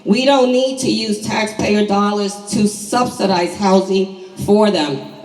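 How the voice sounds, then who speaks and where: A hoarse woman in her sixties from New York City gives an emphatic, deliberate prepared speech at a community meeting. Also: mid-range voice, fairly even intonation, a little mellow. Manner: emphatic, deliberate rhetorical delivery. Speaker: community housing activist. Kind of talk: prepared public speech at a town hall. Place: large indoor community hall. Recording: echoey hall, distant microphone.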